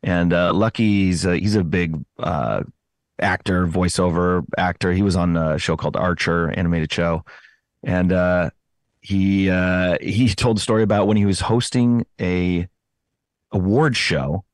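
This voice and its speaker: A man giving casual, conversational interview answers, often pausing and correcting himself as he finds his words. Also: deep voice, fairly even intonation, dry recording, clean studio sound. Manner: hesitant phrasing, self-correcting, chatty. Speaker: podcast host appearing as interview guest.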